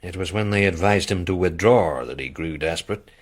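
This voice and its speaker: An actor in a radio drama playing a blunt businessman, husky and folksy. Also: low-pitched, a little fast. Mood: matter-of-fact. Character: blunt American businessman bearing grim news.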